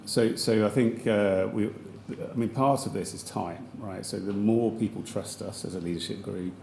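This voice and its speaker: Deep gravelly Englishman in an echoey hall. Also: a little mellow, a little hiss or hum. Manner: hesitant, many fillers and restarts. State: slightly croaky voice. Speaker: chief executive testifying as a witness. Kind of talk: formal testimony under questioning. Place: large public hearing hall. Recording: echoey hall with faint ambience.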